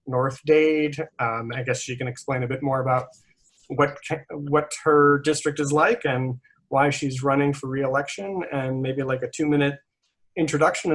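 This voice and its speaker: A man hosting a candidate forum, speaking haltingly with many restarts and chuckling between points. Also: mid-range voice, rough recording. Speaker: forum host, moderator. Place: video call.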